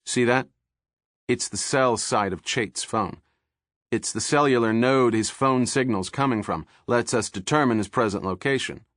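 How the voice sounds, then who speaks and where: A man voicing a brisk male agent explaining equipment in a quick, matter-of-fact way. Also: mid-range voice, a bit bright, dry recording, clean studio sound, close to an everyday voice.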